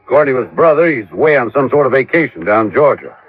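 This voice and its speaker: Male actor as folksy American police captain, mid-pitched, plain-spoken and unhurried in temper, dull old radio recording. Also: sing-song, natural voice. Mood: matter-of-fact. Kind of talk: 1950s radio drama acting.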